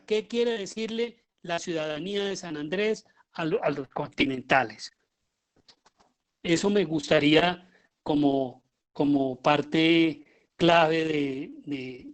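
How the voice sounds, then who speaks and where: A man's voice: on the high side, slow. Colombian man lecturing informally, searching for words. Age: middle-aged. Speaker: lecturer, radio drama director.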